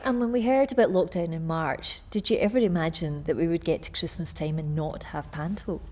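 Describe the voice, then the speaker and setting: A woman's voice: lowish voice, a little fast, a little mellow. A woman working as a TV news reporter, narrating from a script and interviewing.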